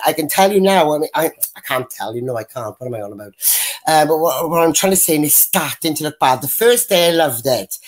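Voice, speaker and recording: Blunt deep-voiced woman on a video-call panel. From Wales. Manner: blunt, rambling, mimics others when quoting. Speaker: panel guest. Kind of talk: casual opinionated chat.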